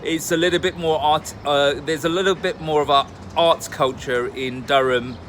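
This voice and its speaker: A man's voice: fairly high pitch, steady tone, moderate pace, a bit bright, a little hiss or hum. A man hosting a casual conversational interview, asking probing questions in an informal, chummy way. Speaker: interviewer and channel host. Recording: music playing behind the voice. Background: music.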